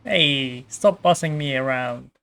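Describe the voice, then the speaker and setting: A woman's voice: very deep voice, takes it slowly, a bit bright. A woman doing casual, playful gameplay commentary, reacting to the characters over game music. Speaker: game streamer.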